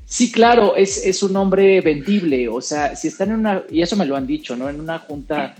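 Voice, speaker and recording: A man's voice: a little high, moderate pace. Chatty man, faint music. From Costa Rica. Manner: chatty, relaxed, laughs often. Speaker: guest, actor and presenter. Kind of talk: casual conversation.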